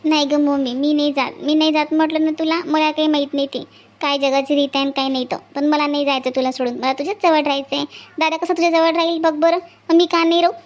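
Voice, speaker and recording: Lady doing a pampered girl about to marry, really high pitched, whiny coaxing clingy kid-like talk, cartoon skit. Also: flat delivery, fast, highs cut off, close to an everyday voice. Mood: protesting, clingy. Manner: wheedling, childlike, protesting. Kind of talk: homemade cartoon family skit acting.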